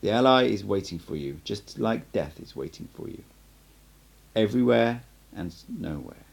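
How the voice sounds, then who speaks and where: A man reading as cryptic sorcerer mentor, low, animated. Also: creaky. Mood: solemn, emphatic. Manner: emphatic, measured, instructive. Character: assured male sorcerer mentor, patient and cryptic.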